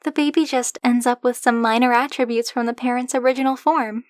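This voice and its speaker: A woman acts out a young dragon-blooded woman librarian with a high voice, recorded very dry and close. She is coy, teasing and possessive, talking straight to the listener as in an ASMR roleplay. Her delivery is conversational, candid and openly fond. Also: steady tone, fairly quick, dry recording, lightly acted. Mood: chatty, self-assured.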